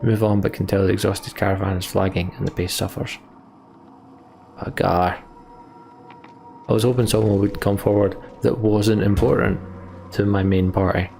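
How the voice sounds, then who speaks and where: Male let's player, low and gravelly, reading game narration, casual asides, some noise behind. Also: fairly even intonation, moderate pace, pauses often, warm tone. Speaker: let's play host reading game dialogue aloud. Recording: background noise.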